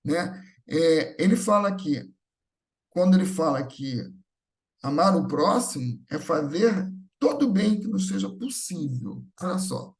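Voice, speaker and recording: A man's voice: on the high side, expressive, unhurried. A man with a Brazilian accent giving a conversational spiritual talk, teaching with repeated phrases, rhetorical questions and check-in tags. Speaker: speaker at a Spiritist gathering.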